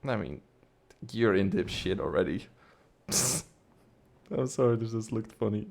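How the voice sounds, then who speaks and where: A man's voice: on the low side, expressive, measured pace, creaky, a little mellow. A man casually reacting to a game cutscene, sarcastic and profane, laughing often.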